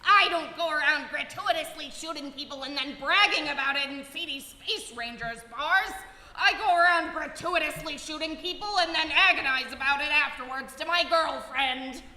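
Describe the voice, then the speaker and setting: A woman playing a loud, self-righteous cop who insists on being sensitive, in a live comedy radio play. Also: high-pitched, normal speed. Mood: blustering, earnest. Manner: loud, earnest, self-justifying, shouting across the room. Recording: echoey hall, live stage performance.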